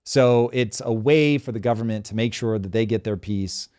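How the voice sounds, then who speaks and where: A man's voice: on the low side, moderate pace, darkish tone, clean tone, no echo, studio quality. A man hosts a live show and talks animatedly, explaining things at length with examples. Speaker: show host.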